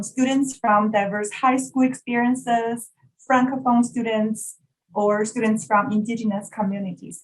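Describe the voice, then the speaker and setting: A woman's voice: medium pitch, a little hiss or hum, poor audio. A woman from China, a native Mandarin speaker with a Mandarin Chinese accent, politely and formally presenting as the facilitator of an online workshop. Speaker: workshop facilitator, educational developer. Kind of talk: workshop introduction, prepared presentation. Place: online video call session.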